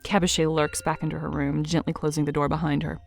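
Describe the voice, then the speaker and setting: A woman narrating an audio drama in a dry, measured storytelling manner. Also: low voice, flat delivery, gravelly, faint music behind. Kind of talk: scripted narration.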